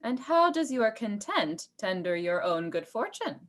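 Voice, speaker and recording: A woman playing a scheming, ambitious male lord in a Shakespearean radio play, insinuating and deliberate as a persuasive tempter. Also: on the high side, sing-song, fairly bright tone. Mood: insinuating, coaxing.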